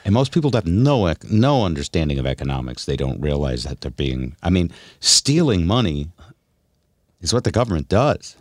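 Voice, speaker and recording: Middle-aged male radio host, low-pitched and close-miked with a dry sound, explaining his views casually. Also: big pitch swings, normal speed, darkish tone, no room sound. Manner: explanatory, musing. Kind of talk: casual opinionated talk.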